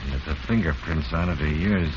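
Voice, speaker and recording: An actor playing a gruff, sardonic homicide lieutenant in a 1940s radio drama, curt and slangy. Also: male voice, a bass voice, fairly quick. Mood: sardonic, accusing. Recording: muffled, noisy vintage radio recording.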